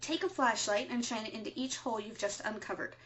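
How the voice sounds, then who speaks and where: Woman playing a higher-voiced, matter-of-fact rebel co-host. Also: brisk, a bit bright, muffled recording, slight background noise, natural voice. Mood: instructive. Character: matter-of-fact female resistance co-host, mild but testy. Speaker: radio broadcast co-host. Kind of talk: amateur audio drama, scripted instructional broadcast.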